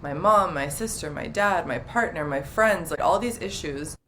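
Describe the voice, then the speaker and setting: Young woman, relationship coach, chatty with fillers, lowish voice, faint background hum. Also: moderate pace. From half Dominican, half Italian, partly raised in the US. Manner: chatty, many "like" fillers, warm and open. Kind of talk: casual interview chat.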